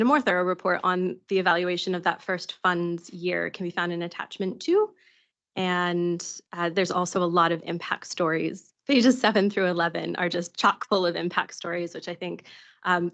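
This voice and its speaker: New Zealand woman, lowish voice, staff report at a council meeting, muffled audio. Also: a bit bright. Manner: many ums and uhs, reads out figures. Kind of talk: meeting presentation.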